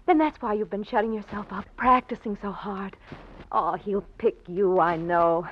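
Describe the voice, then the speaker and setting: An actress playing an anxious, suspicious young wife in an old radio melodrama, earnest and insistent. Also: female voice, medium pitch, normal speed, natural voice. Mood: tender, wistful. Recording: dull, hissy old radio recording.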